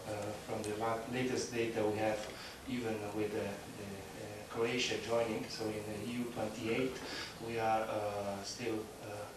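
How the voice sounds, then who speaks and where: Man, conference talk, halting with fillers, faint room hiss. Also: on the low side. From Italy. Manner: halting, many fillers and restarts. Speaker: conference speaker. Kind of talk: presentation. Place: large conference hall. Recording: echoey hall, speaker far off.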